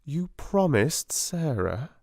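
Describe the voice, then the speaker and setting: A man reading a storybook, voicing a kind young schoolgirl with a calm, firm, coaxing manner. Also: mid-range voice, a little fast, dry recording, studio quality, voice pushed up.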